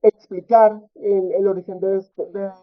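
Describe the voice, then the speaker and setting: A man presenting research at an academic conference, speaking in a measured way with frequent fillers and tag questions. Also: sits high, little pitch movement. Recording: tinny phone-like audio.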